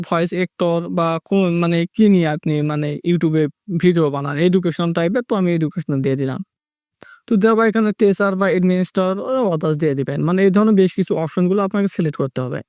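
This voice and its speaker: A man's voice: high voice, warm tone, clean tone, dry recording, studio quality. A man narrating a step-by-step tech tutorial, repeating his instructions as he goes. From Bangladesh. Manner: repetitive instructional phrasing.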